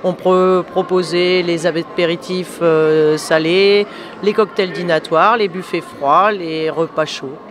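A woman's voice: fairly low pitch, fairly even intonation, takes it slowly. Plain-spoken female caterer, businesslike list of offerings, noisy hall around her. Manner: matter-of-fact listing of services. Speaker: catering staff. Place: indoor exhibition hall. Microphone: handheld mic.

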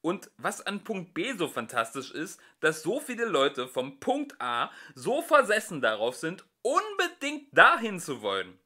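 A young man narrates a story in a homemade audiobook. His voice is bright and fairly high, and his reading bounces with lively, shifting emphasis. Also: unhurried, few pauses. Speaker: amateur audiobook reader.

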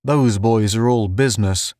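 Man as weary, sardonic lone spaceman, slow. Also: lowish voice, darkish tone, no room sound, studio quality, lightly acted. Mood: bitter, sardonic. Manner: dull, muttering, sardonic. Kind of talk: audiobook character voice.

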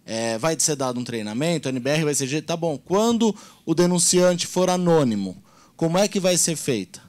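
A man's voice: fairly high pitch, animated, normal speed, darkish tone. A man, earnestly explaining points at a council meeting on a handheld mic. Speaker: meeting participant. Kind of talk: public meeting discussion.

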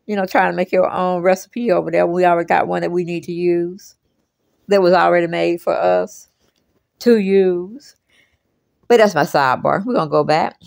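A low-voiced American woman hosts a casual commentary in a dry, close recording. She moves between reading aloud and folksy, chatty asides, with a gravelly edge to her voice. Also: no echo. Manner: chatty, folksy, exclaiming asides and repeated check-in phrases. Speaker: host commenting on celebrity news.

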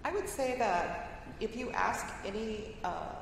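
A woman's voice: on the high side, animated, slow pace, creaky. A woman on a conference panel speaking plainly and encouragingly about mentoring, in a large hall. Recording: echoey hall recording with light noise.

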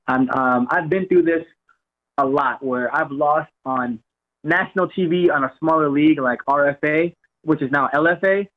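Young man on a tinny phone line. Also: fairly high pitch. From Jamaica, raised in Florida. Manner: relaxed, rambling, repeats words. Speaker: guest fighter. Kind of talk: phone-in interview.

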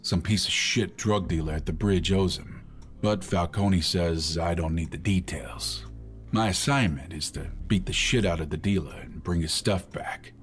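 Male actor as broke, cynical video game thug, noir monologue, deep voice, clipped and world-weary. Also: moderate pace, soft background music, natural voice. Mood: cynical, brooding. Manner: terse, world-weary, profane. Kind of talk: film noir scripted narration.

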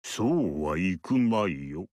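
Game VO: an aloof ageless sage, low and slow, archaic lofty delivery. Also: male voice, very animated, a little mellow, no echo, studio quality, heavily acted. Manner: measured, archaic and lofty speech. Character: ageless male mystic sage, calm and aloof. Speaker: game character. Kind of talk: game dialogue acting.

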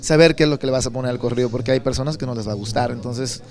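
A Mexican man in his thirties from Sinaloa, answering interview questions at an indoor expo booth into a handheld mic, relaxed and rambling with many repeated words. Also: mid-range voice, racing. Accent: Sinaloan Mexican Spanish. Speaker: songwriter and singer.

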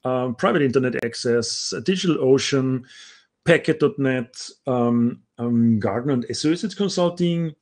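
A man's voice: mid-range voice, a little slow, darkish tone. A middle-aged man from Austria with an Austrian German accent, co-hosting a casual video call chat, talking in a chatty way with many fillers. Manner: chatty, many um fillers, reads names out carefully. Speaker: co-host. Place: home room with bookshelves. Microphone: video call with earbud.

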